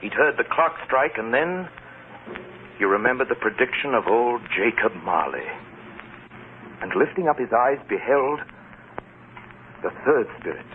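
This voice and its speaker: A man narrating a story in a solemn, measured, dramatic scripted style. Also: mid-range voice, lively intonation, slight background noise. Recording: old narrow-band radio, loud music behind.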